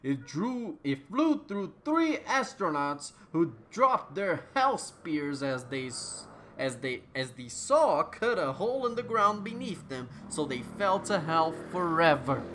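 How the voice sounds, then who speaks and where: Slow, lively theatrical story reader. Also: mid-range voice, a little hiss or hum. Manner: theatrical, stumbles and rereads phrases. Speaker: reader of a fan fiction. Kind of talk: mock-dramatic comedic story reading.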